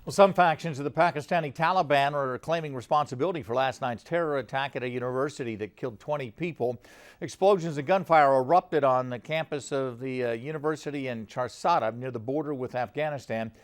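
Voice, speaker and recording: A man's voice: mid-range voice, a little fast. A man with an American accent reading scripted news as a television anchor.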